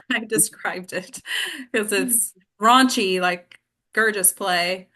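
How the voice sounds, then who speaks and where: A woman with a very bright, forward voice leads an informal discussion. She thinks out loud, hesitating and reworking her sentences as she goes, in the easy style of a host among colleagues. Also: mid-range voice, slow. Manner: hesitant, self-correcting, informal. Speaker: host and director of a table-read discussion. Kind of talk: casual discussion, thinking aloud.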